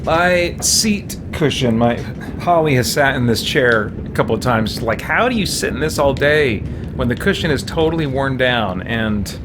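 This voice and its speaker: A man's voice: medium pitch, lively intonation, normal speed, background noise. A man hosting a game playthrough, musing to himself in casual commentary with mild exclamations. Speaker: gaming video host. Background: game music.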